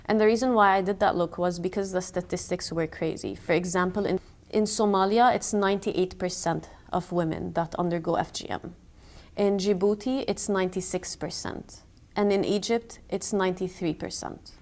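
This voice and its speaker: A young woman from Palestine speaks in a documentary interview, earnest and measured, pausing to pick her words. Her voice sits a little low for a woman. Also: darkish tone. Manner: earnest, measured, with thoughtful pauses. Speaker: makeup artist and activist.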